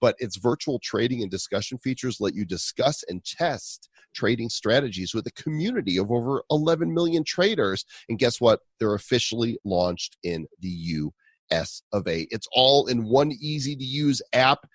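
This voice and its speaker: Playful husky-voiced Chicago man. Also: medium pitch, expressive, normal speed, no echo. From Illinois. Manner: playful, hammy, swaps air and ear sounds. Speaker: podcast co-host. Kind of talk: casual podcast chat and ad reads.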